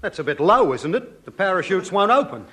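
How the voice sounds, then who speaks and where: An actor playing a reluctant, gormless army private in vintage radio comedy, plaintive and naive. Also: male voice, high-pitched, big pitch swings, a little fast, bright, forceful tone, exaggerated voice. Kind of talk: 1950s British radio comedy acting. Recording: very noisy old radio recording.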